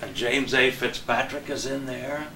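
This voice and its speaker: Older male guest, casual reminiscing, mid-pitched voice, distant mic, noisy room. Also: steady tone, measured pace, sharp tone. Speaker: panel guest, veteran local broadcaster. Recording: echoey room, distant mic, clear noise.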